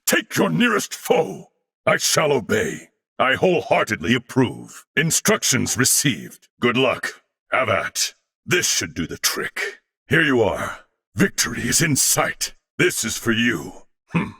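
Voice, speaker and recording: A man's voice: medium pitch, big pitch swings, takes it slowly, creaky, sharp tone, full character voice. An actor playing a formal, disciplined, proud and loyal swordsman in a video game, speaking in theatrical, archaic phrasing. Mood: dutiful, resolute.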